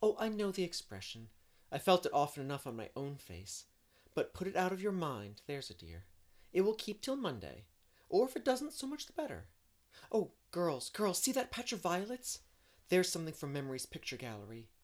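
A woman's voice: fairly low pitch, sing-song, normal speed, pitched above the performer's own voice. A woman voicing a dreamy, talkative young woman in an audiobook, effusive and poetic in manner. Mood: joyful, exclamatory. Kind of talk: audiobook character reading.

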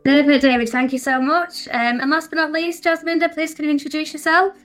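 A woman's voice: high-pitched, steady tone, brisk, fairly bright tone, soft background music. A woman hosting a podcast panel, introducing the guests and moderating the talk in a friendly, upbeat way. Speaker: podcast host.

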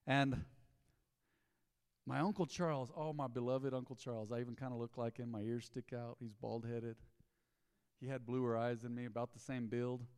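A man, preaching a sermon in a folksy storytelling way, dropping to low asides and rising to loud emphatic bursts. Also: in a large hall. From Texas. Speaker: pastor. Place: church sanctuary.

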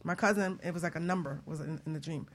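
A woman hosting a talk show, chatting in a casual, informal and animated way. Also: deep voice, fast, vocal fry, slight background noise.

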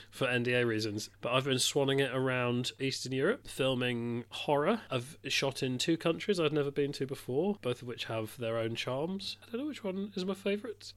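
A man co-hosting a film podcast, animated and laughing through his sentences, often restarting them. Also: mid-range voice. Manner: animated, laughs mid-sentence, self-interrupting. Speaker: podcast co-host. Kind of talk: casual film podcast chat.

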